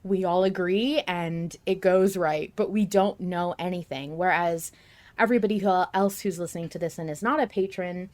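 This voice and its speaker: A woman with a fairly low voice talks casually as a podcast host in a fan discussion. She is earnest and emphatic, letting her thoughts run on in long, looping sentences. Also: moderate pace, darkish tone.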